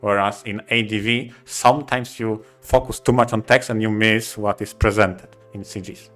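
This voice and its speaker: Halting man chatting over music. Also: fairly low pitch, fairly even intonation, fairly bright tone. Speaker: podcast co-host. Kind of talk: casual podcast chat.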